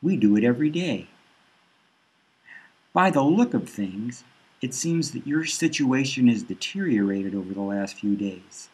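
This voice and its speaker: A man voicing a cold, menacing female bank loan officer who lays out threats in a flat, businesslike way. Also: medium pitch, measured pace, husky, low-quality recording. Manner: cool, threatening, matter-of-fact.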